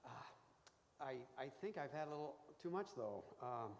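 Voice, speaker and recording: Guy doing a dopey cocky pie judge, kinda high voice, laid back, comedy show in echoey hall w some noise. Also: animated, moderate pace, lightly acted. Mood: queasy, slow-witted. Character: cocky, dim male pie taster, laid-back braggart. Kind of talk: live radio comedy acting. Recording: noisy, reverberant hall stage recording.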